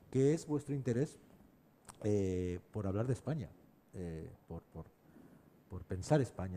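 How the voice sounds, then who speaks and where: A man moderating a cultural panel discussion in measured, halting phrases. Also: mid-range voice, warm tone, a little hiss or hum.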